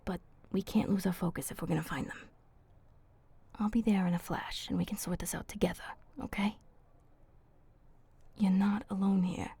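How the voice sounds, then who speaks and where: A woman voicing a flirty, protective female vampire in a breathy, husky, intimate ASMR roleplay. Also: mid-range voice, steady tone, moderate pace, pauses often, dark tone, dry recording. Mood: urgent, reassuring. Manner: intimate, coaxing, casual slangy speech.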